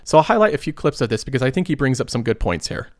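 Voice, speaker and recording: A thirty-year-old man getting over a cold talks through personal finance in a casual, earnest way, pausing and restarting as he thinks. His mid-pitched voice sounds dry and close, with no room echo. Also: very animated. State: recovering from a cold. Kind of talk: casual explanatory monologue.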